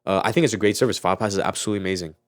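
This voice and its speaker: A man's voice: low voice, fairly even intonation, very fast, dry recording. A man speaking in a casual podcast chat, explaining things informally as a host. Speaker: audio mixing engineer.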